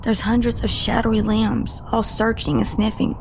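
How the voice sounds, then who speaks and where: A woman playing a haunted, guarded cryptid agent in an audio drama, telling her story with halting emotion and broken-off sentences. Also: fairly high pitch, little pitch movement, gravelly, background noise. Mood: uneasy, emphatic.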